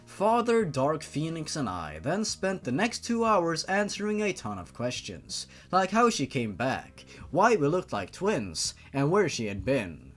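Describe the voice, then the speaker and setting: Male fan fiction narrator: dramatic read, very varied intonation, faint music underneath. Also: medium pitch.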